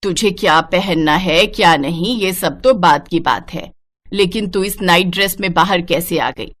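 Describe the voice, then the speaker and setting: A woman's voice: on the low side, a little slow, a bit bright. A woman voicing a bossy, disapproving middle-aged mother-in-law in a cartoon story, scolding and domineering. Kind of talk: animated moral-story cartoon dialogue.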